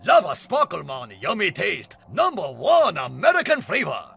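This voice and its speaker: Super high creaky mascot critter, bombastic game announcer type, bit of noise behind. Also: male voice, huge pitch swings, normal speed, heavily acted. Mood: grand explaining. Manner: bombastic, over-enthusiastic, stilted phrasing. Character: excitable Japanese mascot creature guide, pompously dramatic, broken English. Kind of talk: video game cartoon voice acting.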